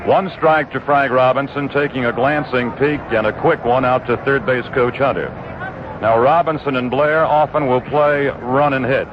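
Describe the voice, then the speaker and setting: A male play-by-play announcer calls a ballgame in a steady, measured way, weaving statistics between pitches. The sound is a dull, narrow-band 1960s radio recording, with clear noise and stadium ambience behind him. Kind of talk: live radio baseball commentary. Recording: muffled old 1960s radio broadcast with noise.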